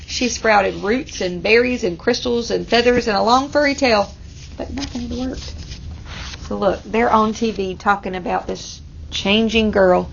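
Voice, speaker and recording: A woman's voice: medium pitch, measured pace. A woman reading a storybook aloud to children, expressively, with engaging asides to her young listeners. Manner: engaging, asks listeners questions. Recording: muffled, clearly noisy room recording.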